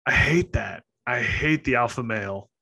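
A man's voice: mid-range voice, normal speed, gravelly, pushed and bright. A man in a casual conversation, joking loosely and leaning on "like" as filler. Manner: loose, joking, says "like" a lot. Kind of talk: casual chat.

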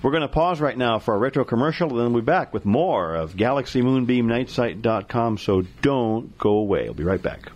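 A man's voice: mid-range voice, lively intonation, a little fast, few pauses, darkish tone, a little hiss or hum. A man hosting a casual talk show in a courteous, affirming manner. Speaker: radio show host.

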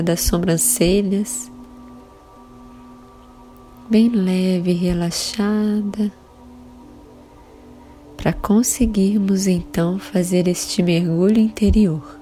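Very slow, mellow Brazilian woman guiding meditation. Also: mid-range voice. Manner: calm, soothing, measured with long pauses. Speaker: guided meditation instructor. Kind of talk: slow guided meditation narration. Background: soft sustained meditation music.

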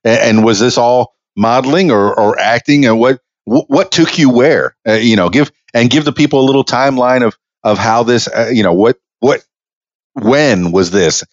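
A man's voice: medium pitch, moderate pace, no room sound, highs cut off. A man in his fifties hosting a podcast interview, rambling with many restarts and easy laughs. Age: 50s. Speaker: podcast host. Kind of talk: casual interview chat.

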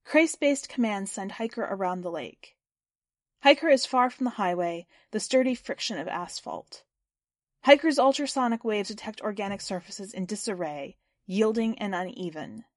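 A woman's voice: mid-range voice, lively intonation, normal speed, no room sound, studio quality. A woman reading scripted fiction and hosting a story podcast. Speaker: podcast host and story reader.

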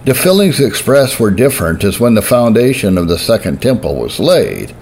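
A man giving a solemn, deliberate reading of historical religious writing, slowing down to stress key phrases. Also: on the low side, sing-song, darkish tone, slightly rough. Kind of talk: measured scripted reading.

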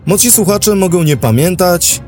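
A man's voice: sits high, lively intonation, normal speed, a little mellow, soft background music. A man narrating a history documentary from a script, as its host.

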